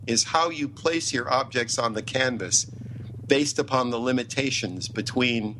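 Middle aged guy getting interviewed, pretty opinionated, medium pitch, bit of noise behind him. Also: a bit bright, rough recording. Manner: opinionated, emphatic, repeats phrases for emphasis. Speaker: interview guest, TV writer and producer. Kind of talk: interview talk.

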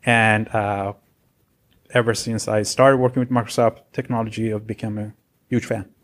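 A man's voice: fairly low pitch, flat delivery, moderate pace. Iran-born guy in his 40s, earnest, kinda rambly storyteller. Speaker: guest, software architect. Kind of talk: casual interview chat.

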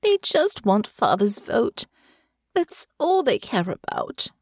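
Anxious noble girl, high and pleading, read by a woman. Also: sing-song, slow pace, slightly rough, dry recording, studio quality, full character voice. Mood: plaintive. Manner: plaintive, rising, tearful pleading. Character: anxious young noblewoman, fretful and wounded.

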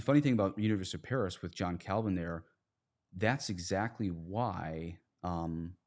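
This is a man with a low voice, speaking as a priest in an earnest religious conversation. He argues with emphasis and often sets up his points as rhetorical questions. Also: a little mellow. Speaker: priest, podcast guest.

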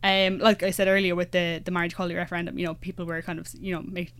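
A woman's voice: on the low side, flat delivery, fairly bright tone, clean tone. A young Irish woman in her twenties chatting casually, full of fillers and restarts. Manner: fillers, many restarts, quick asides. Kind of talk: casual chat.

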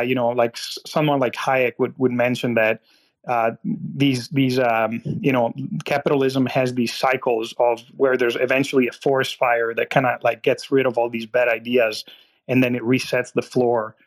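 A man from Italy calling in to a live audio room, talking in a halting way with many fillers and restarts. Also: medium pitch. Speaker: caller. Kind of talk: casual political discussion.

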